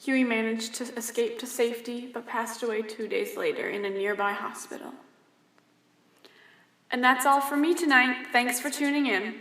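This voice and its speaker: A woman's voice: fairly high pitch, normal speed, a bit bright. Woman reading news in an echoey room. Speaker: news presenter. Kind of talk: scripted news reading for a mock 1930s radio broadcast.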